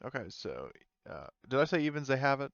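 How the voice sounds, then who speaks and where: A man game master running a tabletop game, talking in a halting way with lots of fillers and chuckles, over a voice call mic. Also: medium pitch, big pitch swings, fairly bright tone, smooth, dry recording. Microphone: online voice call mic. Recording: dull, lo-fi voice call audio.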